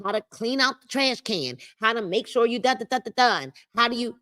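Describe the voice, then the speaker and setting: A woman hosts a live-streamed book club, moving between reading the text aloud and her own emphatic asides. Her voice is bright and sits a bit high, with animated swings in pitch. She repeats her main points and calls out to viewers in the chat. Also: a little fast. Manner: emphatic, repeats key phrases and speaks to the chat. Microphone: livestream mic.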